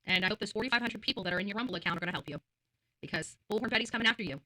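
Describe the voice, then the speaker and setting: A woman's voice: medium pitch, very fast, bright, forceful tone, poor audio. A woman livestream host ranting to her viewers in a combative, blunt, profane and emphatic way, repeating phrases for force.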